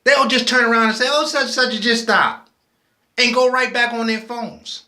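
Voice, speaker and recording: A man's voice: very high-pitched, steady tone, normal speed, sharp tone, echoey room. A man, impassioned and preachy, often restarting his sentences as he speaks his mind in a personal video. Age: 40s. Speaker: vlogger.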